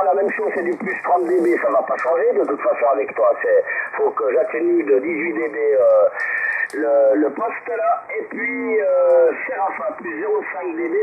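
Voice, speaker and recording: A man's voice: sits high, vocal fry. A man chatting casually over amateur radio, giving reports and greetings to fellow operators. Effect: radio transmission. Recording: noisy narrowband two-way radio transmission.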